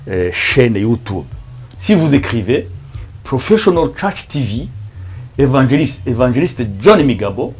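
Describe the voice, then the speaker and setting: A man with a Rwandan accent, a native Kinyarwanda speaker, preaching as a pastor in a halting, emphatic manner with repeated words. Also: mid-range voice, a little mellow. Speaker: pastor and evangelist. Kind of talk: preaching introduction to camera.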